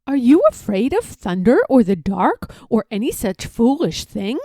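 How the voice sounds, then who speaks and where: Storybook Empress acted by a female reader: stern middle-aged mother, regal, measured, mellow yet commanding. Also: a little high, very animated, no echo, clean studio sound, heavily acted. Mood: stern, probing.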